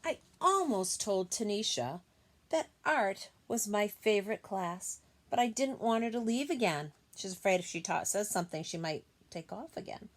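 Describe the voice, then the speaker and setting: A woman reads a picture book to young children with a very lively, swooping delivery. Her pitch is middling, and she slips into simple, gentle explanatory asides as a teacher would.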